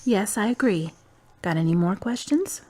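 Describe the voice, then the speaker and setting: An actress playing a calm, wise young woman in her twenties from the United States, who talks bluntly and teases dryly. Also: mid-range voice, moderate pace, lightly acted. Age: 20s. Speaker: friend and love interest. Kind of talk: amateur web drama acting.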